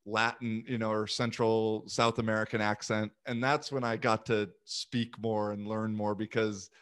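A man speaks in a fairly low voice. He is chatting casually, with an informal, loose way of talking full of "like" and "you know". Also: flat delivery, moderate pace, a bit bright, smooth. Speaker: youth sports coach and language learner. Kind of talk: casual conversation.

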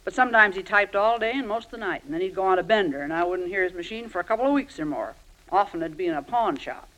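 A woman's voice: mid-range voice, animated, quick pace, a bit bright, natural voice, rough recording. An actress playing a blunt, feisty landlady with a brusque, colloquial way of talking, in an old radio drama. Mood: chatty, frank. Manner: brusque, colloquial, plain-spoken. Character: blunt, feisty Irish landlady, chatty but kind underneath. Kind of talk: 1940s-50s radio drama acting.

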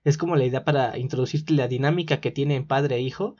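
A man's voice: mid-range voice, fast talker, darkish tone. A man with a Mexican accent, co-hosting a casual chat about a TV series. He laughs often and explains at length with fillers. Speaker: podcast co-host. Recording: dull lo-fi recording.

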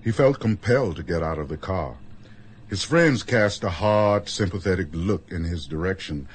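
A man's voice: deep voice, animated, normal speed, husky. An actor playing a solemn omniscient storyteller, a man reading scripted narration for a radio drama. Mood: measured.

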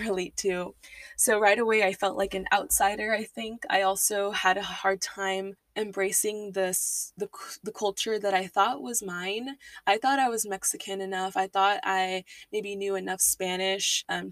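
A woman's voice: medium pitch, fairly bright tone, no echo, far from the mic. Twenties woman from Texas, podcast host, candid personal monologue, a little off-mic, dry room. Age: 20s. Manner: candid, emotional, fillers and restarts.

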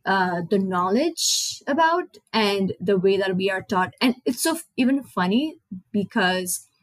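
A woman's voice: medium pitch, animated, a little slow, no room sound, low-quality recording. A young woman from Nepal with a Nepali accent, a native Nepali speaker hosting a casual solo podcast in English, rambling and correcting herself as she goes. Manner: rambling, self-correcting, chatty. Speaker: podcast host.

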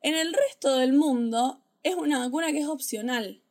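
A woman with an Argentine Spanish accent and a slightly high voice chats on a podcast. She jokes with dry irony and laughs easily. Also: moderate pace, a little mellow. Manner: jokey, ironic, laughs often. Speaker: podcast co-host. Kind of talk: casual chat.

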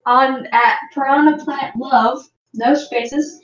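Slow-talking woman in a roomy, dull recording. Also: sits high, steady tone, fairly bright tone. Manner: slow, spells things out carefully. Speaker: podcast guest. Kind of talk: casual podcast chat.

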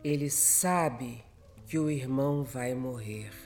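Woman narrator, Brazilian accent, very unhurried, scripted dramatic storytelling, music underneath. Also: extremely low pitch, darkish tone.

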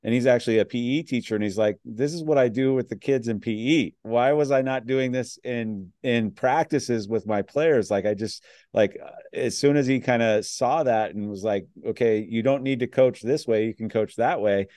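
A man's voice: medium pitch, normal speed, warm tone, no room sound, clean studio sound. A man hosting a coaching podcast in a conversational way, with frequent fillers. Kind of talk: casual podcast interview.

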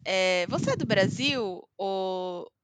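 A Brazilian woman with a Brazilian Portuguese accent, chatting casually with hesitant pauses and fillers. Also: mid-range voice, animated, no echo. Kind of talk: casual chat. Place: living in Scotland.

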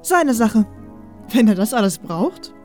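Actress as a brisk, blunt fantasy camp woman, higher-voiced. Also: sing-song, darkish tone, faint music behind. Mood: indifferent. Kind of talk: fantasy audiobook drama dialogue.

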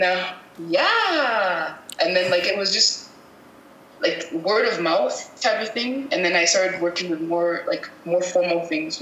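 A woman's voice: lowish voice, a bit bright. A woman from Cameroon, a guest videographer, answering interview questions casually, thinking aloud and correcting herself as she goes. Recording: echoey room with faint noise.

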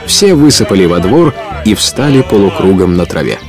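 A man narrating a scripted storybook audio play in an expressive, literary storytelling manner. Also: low voice, normal speed, over loud music.

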